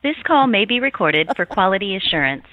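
A woman's voice: medium pitch, big pitch swings, a little fast. A woman reading a scripted automated phone menu announcement over a telephone line. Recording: dull, noisy telephone line.

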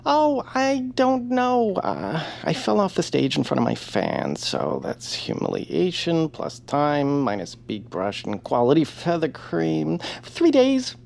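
An actor playing a timid, fussy, self-deprecating radio hero in a comedy audio drama, halting and apologetic. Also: male voice, fairly high pitch, huge pitch swings, creaky, darkish tone, exaggerated voice. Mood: sheepish, apologetic. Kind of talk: audio fiction comedy acting.

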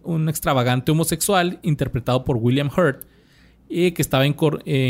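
Mexican man, slangy and higher-voiced. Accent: Mexican Spanish. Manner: laid-back, slangy, reads from notes with restarts. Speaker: podcast host. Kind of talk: casual podcast chat, reading a bio.

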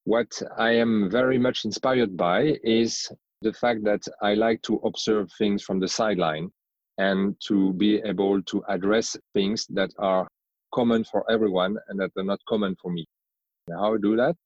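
A thoughtful executive from France speaks careful, slightly non-native English with a strong French accent. His voice sits low, and the remote recording sounds somewhat dull and dry, with little room sound. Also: fairly even intonation, moderate pace, a little mellow, no room sound. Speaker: guest, company executive. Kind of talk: podcast interview, remote call.

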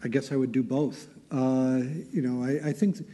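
A man's voice: medium pitch, steady tone, moderate pace, dark tone, slight background noise. A man on an expert panel answering a question, thinking aloud with many fillers.

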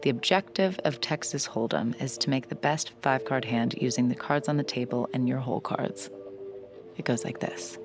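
A woman's voice: very deep voice, moderate pace, music in the background. An actress playing a sly, cocky young woman poker hustler who narrates her con in a wry, confiding way in an audio drama. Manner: wry, confiding, self-assured. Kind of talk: first-person audio drama narration and dialogue.

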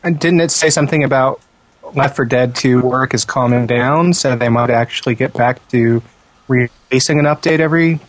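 A man's voice: mid-range voice, moderate pace, no echo, poor audio. A man co-hosting a casual gaming podcast, chatting in a wry, joking way. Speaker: podcast co-host. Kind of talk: casual panel chat about gaming.

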